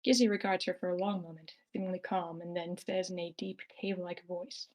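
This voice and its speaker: A woman's voice: on the low side, steady tone, fairly quick, a little mellow. A woman narrating scripted stage directions for a live screenplay table read. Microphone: remote online meeting.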